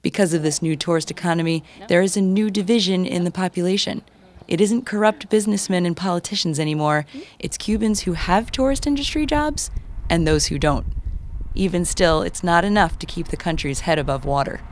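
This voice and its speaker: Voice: mid-range voice, expressive, a little fast. A documentary narrator reading a scripted travelogue.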